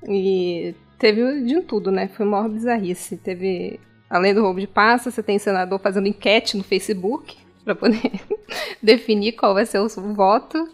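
A woman's voice: medium pitch, moderate pace, faint music behind. A Brazilian woman co-hosting a podcast, making casual, wry commentary in an informal conversational way. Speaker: podcast co-host.

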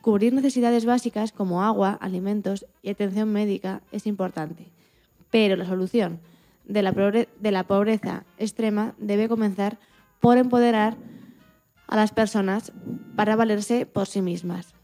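A woman presenter reads from a script on a radio show. Her pitch is average and her tone is rounded and soft, with faint music underneath. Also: fairly even intonation, normal speed. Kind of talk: scripted narration, reading aloud.

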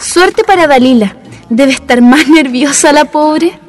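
A woman acting as a chatty young townswoman in a radio play. Her voice is high and sympathetic, with clear music playing behind her. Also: measured pace. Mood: eager, sympathetic. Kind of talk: radio drama dialogue.

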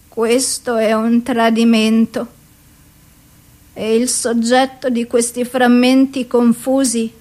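A depressed woman, bitter and worn down, speaks in a radio play. Her voice sits fairly high, and she lets each phrase fall slowly with long, heavy pauses. The delivery stays intense and raw throughout. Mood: bitter, accusing. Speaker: radio drama actress. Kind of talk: radio theatre monologue.

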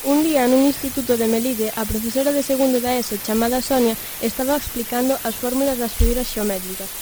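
Young female narrator for amateur radio story, fairly high voice, scripted reading, clear background hiss. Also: fairly even intonation, fast. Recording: noisy, hissy amateur recording.

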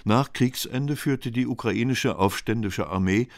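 A man reading scripted documentary narration in a measured, even way. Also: mid-range voice, dry recording, clean studio sound.

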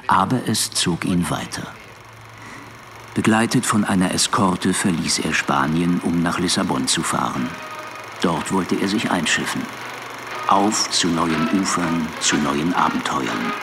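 Solemn archive narrator, deep register, measured formal delivery for a mock-documentary radio play. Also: male voice.

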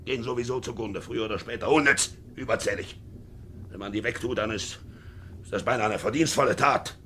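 An actor playing a shady, callous middle-aged sea captain in a 1950s radio drama, halting and often muttering. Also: male voice, medium pitch, a bit bright, close to an everyday voice. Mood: agitated, contemptuous. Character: shady, brooding middle-aged male ship's captain, callous and self-justifying. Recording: hissy 1950s radio recording.

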